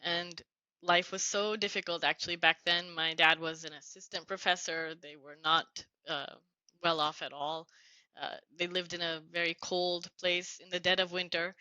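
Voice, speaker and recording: Middle-aged woman, earnest guest storyteller, crisp low voice, muffled dry call audio. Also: little pitch movement, moderate pace, no room sound. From United States (New Jersey). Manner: warm, earnest, grateful tone. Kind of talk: reflective conversational storytelling.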